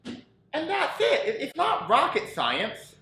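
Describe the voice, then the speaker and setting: Snarky guy ranting about a bad movie, high voice, really bright. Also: wildly swooping pitch, slow. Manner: sarcastic, exasperated. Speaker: movie reviewer. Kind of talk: comedic video commentary.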